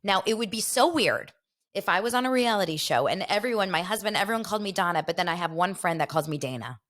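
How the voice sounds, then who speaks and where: A woman's voice: medium pitch, animated, fast, fairly bright tone. A young woman from Los Angeles hosting a podcast, chatting animatedly and gossiping while reading out viewer comments. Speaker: podcast host. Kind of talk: casual chat.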